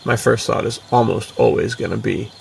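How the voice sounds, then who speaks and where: A man's voice: lowish voice, moderate pace, creaky, darkish tone. A man co-hosting a podcast in a casual, enthusiastic, slangy way, peppering his talk with dude and like. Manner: slangy, bro-ish, full of "dude" and "like". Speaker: podcast co-host. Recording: distant mic with faint background noise.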